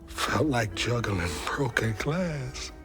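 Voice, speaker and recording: An actor playing a grieving, guilt-ridden man with a Southern US accent, speaking haltingly in a video game cutscene. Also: medium pitch, lively intonation, music in the background. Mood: ashamed, regretful. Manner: halting, heavy, confessional. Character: grieving, haunted man burdened by guilt and shame.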